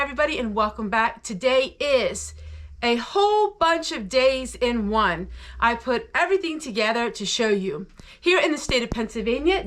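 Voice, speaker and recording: Higher-pitched older American woman, chatty vlogger. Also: fairly bright tone. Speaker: homesteading vlog host. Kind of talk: chatty vlog narration to viewers.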